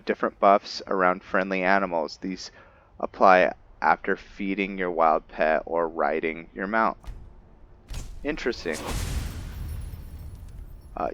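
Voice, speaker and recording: A man giving casual commentary on his roleplay game, thinking aloud in a halting way. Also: low-pitched, a bit bright, muffled recording. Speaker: gamer narrating a roleplay playthrough.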